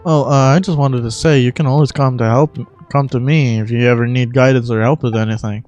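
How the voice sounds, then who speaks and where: A man voicing a gentle, child-like female deity from a game with polite, mild phrasing. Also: mid-range voice, fairly even intonation, brisk, soft background music, voice pushed down, close to an everyday voice. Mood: reassuring.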